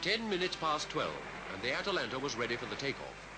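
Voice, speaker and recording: A man with a British Received Pronunciation accent reading scripted 1950s newsreel commentary in a formal, measured announcer style. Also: medium pitch, very animated, low-quality recording. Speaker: newsreel narrator. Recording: vintage 1950s newsreel soundtrack with music.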